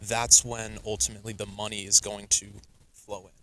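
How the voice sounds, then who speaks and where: A man's voice: on the low side, moderate pace, slight background noise. A young man, a podcast guest with Russian as his first language, talking earnestly and casually with many "you know"s. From United States. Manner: earnest, animated, frequent fillers like "you know". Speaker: podcast guest, musician and entrepreneur. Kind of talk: casual interview talk.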